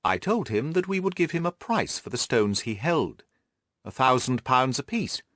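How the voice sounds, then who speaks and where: A man reading the part of a shrewd, self-assured gentleman detective, precise and methodical, in an audiobook. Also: mid-range voice, very animated, moderate pace, no room sound. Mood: brisk, satisfied.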